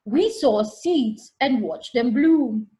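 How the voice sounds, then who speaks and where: A woman voicing a patient, friendly male farmer from a picture book, explaining with slow emphasis. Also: fairly high pitch, expressive, warm tone. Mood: welcoming.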